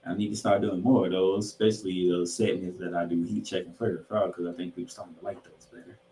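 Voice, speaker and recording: A man's voice: sits low, flat delivery, fast, mellow, a little hiss or hum. A man co-hosting a sports podcast, previewing games emphatically, posing questions and repeating phrases for effect. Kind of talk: sports talk, game preview banter. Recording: roomy, echoing sound.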